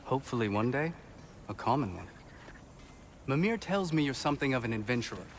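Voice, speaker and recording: A man's voice: medium pitch, sing-song, a little fast, a little hiss or hum, close to an everyday voice. A man playing an earnest, idealistic and talkative elf in a video game. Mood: earnest, hopeful. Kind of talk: video game character acting.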